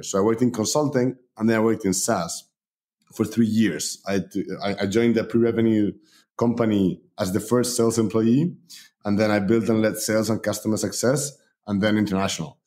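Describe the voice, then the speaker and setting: A man from Spain, a company founder, talking as a guest in a relaxed way with many fillers. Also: on the low side. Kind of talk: casual interview talk.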